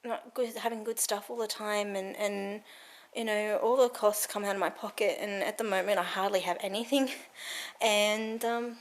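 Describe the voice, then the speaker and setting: A woman's voice: medium pitch, steady tone, moderate pace. A woman in her thirties chatting to a handheld camera at home in a rambling, giggly way. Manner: rambling and giggly, many fillers. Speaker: vlogger. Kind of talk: casual chat to camera. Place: cold room at home. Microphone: handheld camera carried around the room.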